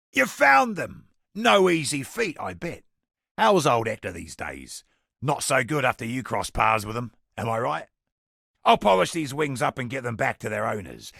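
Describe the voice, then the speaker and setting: Husky trader from a fantasy game, roguish n wry, voice goes up and down a lot. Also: male voice, medium pitch, fairly bright tone, no echo, clean studio sound, full character voice. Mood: scheming, cheerful. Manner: colloquial, wry storytelling with British slang. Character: roguish, chatty male merchant, wry and worldly. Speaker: merchant character in a fantasy video game. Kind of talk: scripted video game character dialogue.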